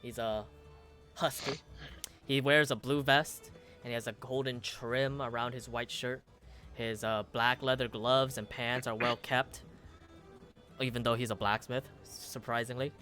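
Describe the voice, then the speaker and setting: A young man running a tabletop game as game master, describing scenes in a rambling, halting, self-mocking way. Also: mid-range voice, fairly even intonation. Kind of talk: casual tabletop game narration. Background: soft music.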